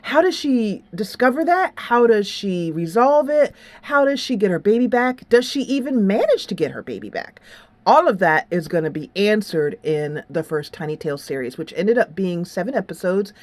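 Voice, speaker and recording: A woman's voice: mid-range voice, sing-song, normal speed, darkish tone, no room sound. A woman hosting a gaming channel, chatting casually in a rambling way with some dramatic rhetorical flourishes. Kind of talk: casual game review and upcoming series chat. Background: music.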